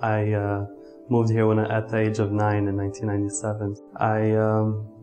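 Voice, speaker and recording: A man's voice: lowish voice, completely flat delivery, normal speed, over music. A man in his twenties, originally from Lebanon, talking earnestly in an office interview with some fillers and restarts. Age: 20s. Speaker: law student volunteer. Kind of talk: interview to camera. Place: indoor office.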